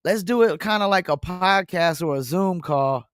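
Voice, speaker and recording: A man hosting a casual music interview, asking relaxed, admiring questions. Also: sits high, moderate pace, clear, resonant tone. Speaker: interviewer host.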